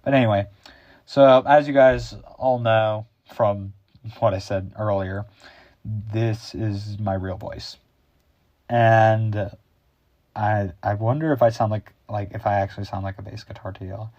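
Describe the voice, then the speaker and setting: Deep-voiced teenage guy rambling slowly. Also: fairly even intonation, darkish tone, no echo, muffled recording. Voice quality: deep, bass-heavy voice. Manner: rambling, improvised, a little awkward. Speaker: YouTube host. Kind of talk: casual unscripted chat.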